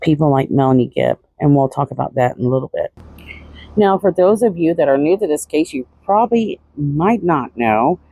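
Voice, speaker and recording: A woman's voice: sits low, lively intonation, moderate pace, vocal fry, dark tone, dry recording, poor audio. A woman hosting a true-crime show, giving casual analytical commentary.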